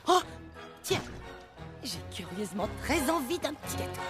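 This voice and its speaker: Kindly fussy cartoon lady, drawn out words, high swoopy voice. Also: sing-song, moderate pace, over loud music. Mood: peckish. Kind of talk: French cartoon dub acting.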